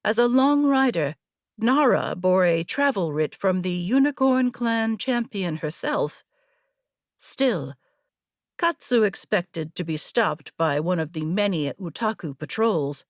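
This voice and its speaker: Slow, measured woman audiobook narrator. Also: medium pitch, expressive, no echo, clean studio sound. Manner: measured, deliberate. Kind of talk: scripted fantasy story narration.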